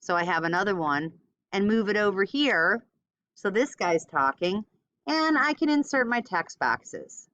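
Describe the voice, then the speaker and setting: A woman's voice: mid-range voice, expressive, a little slow, fairly bright tone, dry recording. Woman giving a casual tutorial, dull lo-fi sound. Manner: informal, thinks aloud while demonstrating. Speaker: teacher giving a software tutorial. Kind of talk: casual screen-recorded step-by-step tutorial.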